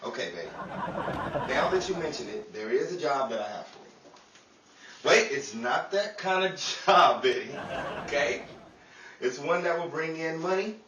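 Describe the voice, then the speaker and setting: A man's voice: a little high, lively intonation, measured pace, pauses often, a bit bright. A man playing a cheeky, scheming 1930s New York hustler with slick, jokey patter, in screwball radio comedy style. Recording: echoey, distant, noisy live room with crowd.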